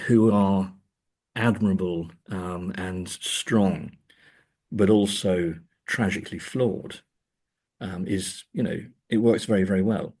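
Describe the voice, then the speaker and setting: A man speaking as an academic guest in an interview, thoughtful and halting, often repeating words as he goes. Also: sits low, darkish tone, husky. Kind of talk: thoughtful interview discussion of screen adaptations.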